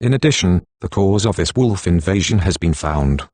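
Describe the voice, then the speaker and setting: A synthetic text-to-speech man's voice reading a scripted emergency broadcast announcement in a formal, measured, impassive manner. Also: deep voice, expressive, a little mellow, slightly rough, no room sound.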